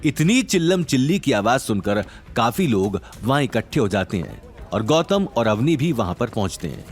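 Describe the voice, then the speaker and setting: Expressive male story narrator with lively pitch. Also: normal speed, soft background music. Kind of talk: dramatic Hindi story narration.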